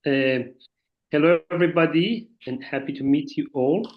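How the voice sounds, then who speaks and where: Halting man. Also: fairly high pitch. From Iran. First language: Farsi. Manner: halting, searching for words in a second language. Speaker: visiting artist. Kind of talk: informal talk over a video call. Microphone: video call. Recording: muffled video-call audio.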